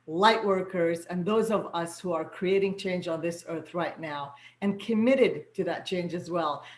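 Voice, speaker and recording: Arabic-speaking woman host with a roomy echo. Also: on the low side. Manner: gentle, affirming, repeats words for emphasis. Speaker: host, soul guide and coach. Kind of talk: inspirational welcome to an online gathering. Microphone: video call.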